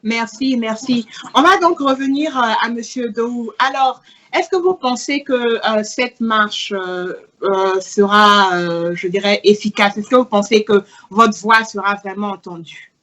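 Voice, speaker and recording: A woman hosts an interview, asking her guests polite questions. She pauses on fillers as she frames each one, in a slightly high voice. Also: fairly bright tone, lo-fi sound. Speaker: interviewer, host.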